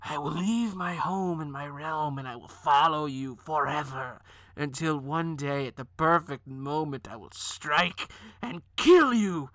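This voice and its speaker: Man doing a vengeful shovel, slow and menacing. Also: fairly high pitch, fairly bright tone, no echo. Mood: brooding menace. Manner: menacing, dramatic monologue. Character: vengeful shovel swearing slow, bloody revenge. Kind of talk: villain inner monologue in tabletop game.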